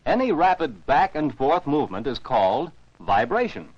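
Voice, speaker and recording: A man's voice: medium pitch, sing-song, normal speed, background noise. An American man narrates scripted science for children as an educational film narrator, in a didactic, carefully enunciated way. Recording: dull, dry 1949 film soundtrack.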